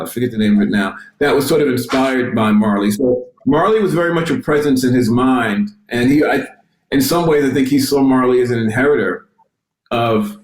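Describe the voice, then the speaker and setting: A man talking on a discussion panel, thoughtful and unhurried, circling back to rephrase himself as he goes. His voice sits at an ordinary middle pitch. The sound carries a roomy echo around it. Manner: reflective, halting with restarts. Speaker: panelist, music journalist.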